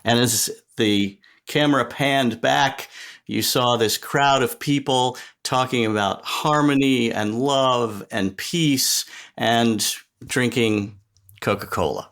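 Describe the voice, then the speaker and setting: A middle-aged or older man giving thoughtful, articulate interview answers as a podcast guest, in measured, reflective phrasing. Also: medium pitch, a bit bright. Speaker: podcast guest, sonic strategy expert.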